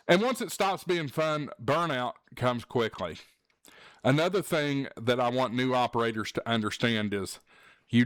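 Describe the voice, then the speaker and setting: Male channel host, earnest, plain-spoken advice vlog, mid pitch, dry recording. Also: a bit bright, no room sound. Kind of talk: candid advice vlog to viewers.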